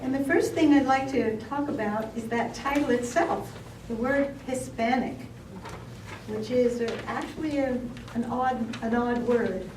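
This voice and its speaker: A woman moderating a panel discussion in a meeting room, chatty and relaxed with fillers and little laughs. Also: fairly high pitch, measured pace, background noise. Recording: echoey room, far from the mic.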